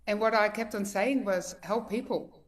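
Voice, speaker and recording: A woman's voice: medium pitch, normal speed. A woman in her fifties from the Netherlands with a mixed Dutch accent, sharing her personal story as a guest speaker on a video call in a chatty, heartfelt way with many fillers. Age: 50s.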